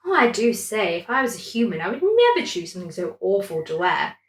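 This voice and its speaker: A woman's voice: medium pitch, very animated, fairly quick, roomy sound, close to an everyday voice. A woman voicing a fussy, sentimental male prawn in a bedtime story, with a plummy, exclaiming way of talking. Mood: fussy, disdainful.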